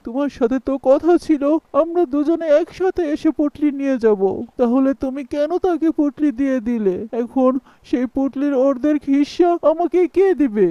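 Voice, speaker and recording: A man's voice: extremely high pitch, warm tone, no echo, lo-fi sound. A man playing an angry, accusing male traveler who insistently demands his gold in a cartoon. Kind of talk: cartoon voice acting.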